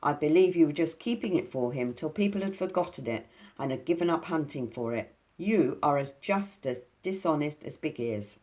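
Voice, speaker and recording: A woman's voice: sits low, animated, moderate pace, mellow. A woman doing a fiery little pixie. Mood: angry. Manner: fiery, indignant, boastful. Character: small fierce bold male pixie.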